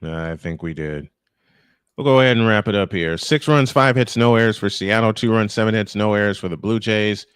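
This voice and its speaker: A man with a fairly high voice hosts a live baseball broadcast. He calls the play and speaks straight to his listeners in a folksy way. The sound is dry and close. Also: fairly bright tone, smooth, dry recording. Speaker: play-by-play sports broadcaster and host. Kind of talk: live baseball commentary and sign-off.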